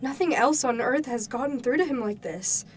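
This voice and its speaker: Woman playing concerned mom, highish voice. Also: moderate pace, far from the mic, a little hiss or hum, natural voice. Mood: softening. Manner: conversational, questioning, concerned. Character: caring, mildly skeptical mother, warming to her family. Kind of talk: naturalistic audio drama acting.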